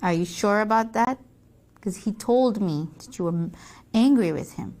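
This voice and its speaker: Woman playing a gentle but firm storybook mother. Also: mid-range voice, animated, voice pushed down, natural voice. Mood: suspicious. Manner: measured, coaxing explanations. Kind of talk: acted children's story dialogue.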